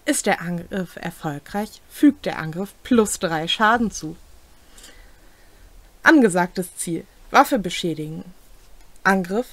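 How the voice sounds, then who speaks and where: A young adult woman, co-hosting and reading game rules aloud in a deliberate way with brief comments. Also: fairly low pitch, animated, fairly bright tone, no room sound. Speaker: co-host explaining tabletop game rules. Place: small home room, indoors.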